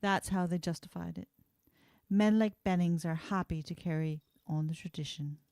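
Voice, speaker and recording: A woman playing a kind, earnest and quietly defiant frontier schoolteacher in an amateur radio play, explaining things in a measured way. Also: lowish voice, steady tone, slight background noise, lightly acted. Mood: somber, explanatory.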